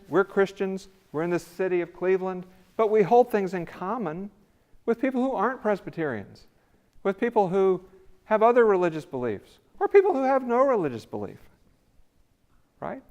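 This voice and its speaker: A man's voice: high voice, a little mellow, highs cut off. An older man giving a conversational lecture in a church sanctuary, with a big voice and rising emphasis on key words. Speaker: visiting scholar lecturer. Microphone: podium mic, then away from mic.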